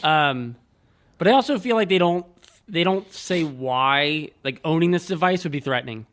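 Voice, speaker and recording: A man's voice: fairly high pitch, expressive, moderate pace, fairly bright tone. A man co-hosting a casual film discussion podcast, talking informally with friends outdoors.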